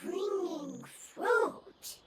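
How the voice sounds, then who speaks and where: A woman voicing a small forest creature in an anime game, speaking in halting, broken phrases. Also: very high voice, expressive, heavy background noise, exaggerated voice. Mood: worried. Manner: halting, one or two words at a time. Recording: loud music under the voice.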